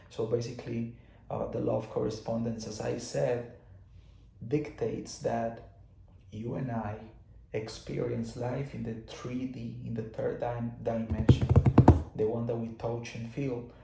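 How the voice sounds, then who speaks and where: Man with a gravelly voice. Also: mid-range voice, mellow, reverberant, a little hiss or hum, rough recording. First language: Spanish. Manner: loose and repetitive, many fillers and restarts. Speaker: teacher of spiritual laws. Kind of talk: casual explanatory talk.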